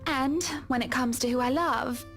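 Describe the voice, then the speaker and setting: Woman to play a confident, refined society lady; high-pitched, prim diction. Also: moderate pace, a bit bright, soft background music. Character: poised, genteel wealthy woman, confident and fond. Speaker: game character. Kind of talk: voiced visual novel dialogue.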